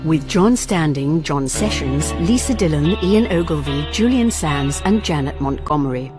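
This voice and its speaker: A woman's voice: low-pitched, lively intonation, moderate pace. A woman reading scripted radio drama credits as an announcer. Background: plucked guitar music.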